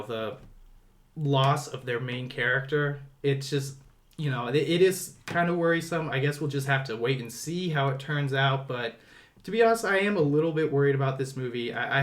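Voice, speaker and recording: A man's voice: mid-range voice, moderate pace. A man hosting a podcast, talking casually about films in a conversational way. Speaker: podcast host. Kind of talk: casual film discussion.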